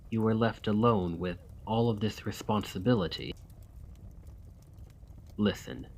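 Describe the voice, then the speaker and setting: A man playing a desperate, weary immortal gentleman vampire in an ASMR roleplay, speaking formally and courteously in a breathy, husky voice that often drops to a whisper. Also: fairly low pitch. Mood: understanding. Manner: formal, courtly, old-fashioned phrasing.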